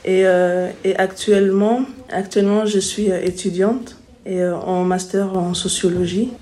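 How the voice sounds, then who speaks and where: A woman in her twenties from Congo, telling her own story in an interview in a hesitant, halting way. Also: medium pitch, level tone, mellow. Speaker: interviewee, sociology student. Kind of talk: personal interview account.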